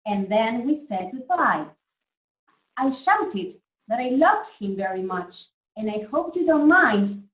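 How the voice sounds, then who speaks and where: A woman with a fairly high voice teaches young children in an interactive lesson. She explains in simple terms and keeps asking short check questions. The sound is echoey and somewhat muffled. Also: sing-song, takes it slowly. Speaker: primary school teacher leading an online lesson. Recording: echoey room, distant muffled mic.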